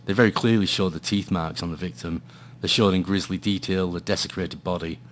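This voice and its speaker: Low-voiced, weary Scottish private eye, played by a man. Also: steady tone, a little fast, slight background noise, natural voice. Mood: brooding, grim. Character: weary, dry male private investigator in noir thriller. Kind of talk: noir radio drama, dialogue and brooding first-person narration.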